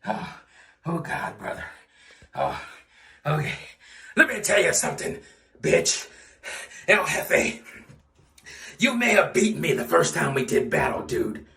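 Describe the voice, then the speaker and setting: A man delivers a mock wrestling promo full of swagger, his voice raised, gravelly and sharp. He sits back from the mic in an echoey room. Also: fairly high pitch, sing-song, unhurried, long pauses, far from the mic. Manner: blustering wrestler bravado, tacks on "brother" and "dude". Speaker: fan wrestling promo performer. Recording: roomy echo, off-mic.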